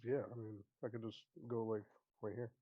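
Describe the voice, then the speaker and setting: A man's voice: fairly low pitch, level tone, normal speed, no echo. Man on a tinny, phone-like line. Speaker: tabletop game player. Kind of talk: casual game-table chat.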